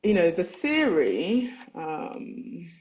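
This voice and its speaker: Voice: mid-range voice, sing-song, extremely slow, a little mellow. Thoughtful lecturer on a muffled call. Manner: thoughtful, searching for words, reads own letters aloud. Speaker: lecturer and artist. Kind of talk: online lecture presenting own thesis.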